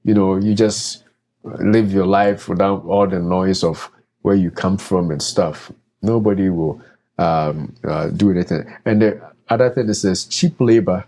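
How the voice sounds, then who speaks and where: A man with a very low, mellow voice talks through practical advice in a relaxed podcast chat. He speaks as a doctor, hedging with fillers and restarting his sentences as he goes. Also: unhurried. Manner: conversational, many fillers and restarts. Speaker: doctor and co-host.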